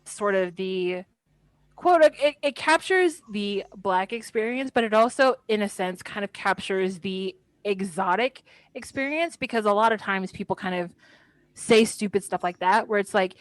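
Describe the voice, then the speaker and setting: A woman in her thirties co-hosting a podcast in casual chat, blunt and animated, swearing when something annoys her. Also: mid-range voice, moderate pace, no room sound, rough recording. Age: 30s. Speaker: podcast co-host.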